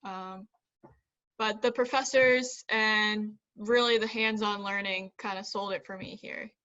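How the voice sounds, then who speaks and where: A young woman from South Carolina, a college student, speaking steadily with pauses. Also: medium pitch, fairly even intonation, sharp tone, highs cut off. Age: young adult. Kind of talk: casual chat.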